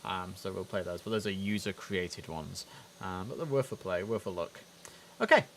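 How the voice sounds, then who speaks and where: A man's voice: low-pitched, normal speed. A man hosting a casual game livestream, chatting away and slipping into clipped air traffic radio phrasing. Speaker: livestream host. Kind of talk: casual game streaming commentary. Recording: steady background noise.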